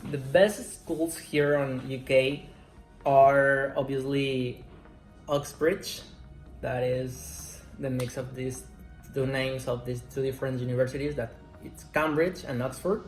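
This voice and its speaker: A man in his twenties, a Spanish speaker, answering interview questions in slow, careful English. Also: mid-range voice, steady tone. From Mexico. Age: 20s. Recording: roomy echo, faint music.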